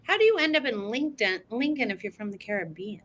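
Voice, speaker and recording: A woman hosting a podcast, asking guests questions in an upbeat, animated way. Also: a little high, a little fast, slight background noise. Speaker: podcast host. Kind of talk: casual chat.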